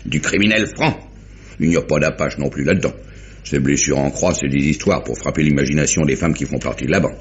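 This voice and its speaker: A brisk, methodical police inspector in a vintage radio mystery. His deep voice is very animated, with muttered asides, and the recording is dull and noisy. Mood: sceptical, assured. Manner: talkative, deliberate summing-up with muttered interjections. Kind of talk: 1950s French radio mystery acting.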